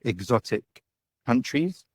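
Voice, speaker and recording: A man's voice: mid-range voice, lively intonation, a little slow, no echo, clean studio sound. Man answering interview questions, chatty. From United Kingdom. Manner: chatty, tags points with "right?" and "you know". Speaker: guest, digital nomad and business owner.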